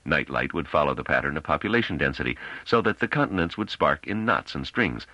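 A man's voice: rumbling low, lively intonation, fairly quick, pushed and bright, no echo, muffled recording, exaggerated voice. Man acting a confident, worldly space pilot. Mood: amused, explaining. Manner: assured, explanatory.

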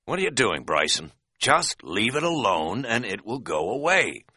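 A man's voice: lowish voice, sing-song, moderate pace, sharp tone, breathy edge, dry recording, pitched above the performer's own voice, full character voice. A man voicing a weary, unflappable male hiking companion in an audiobook, dry and dismissive. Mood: unruffled impatience. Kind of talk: audiobook character dialogue.

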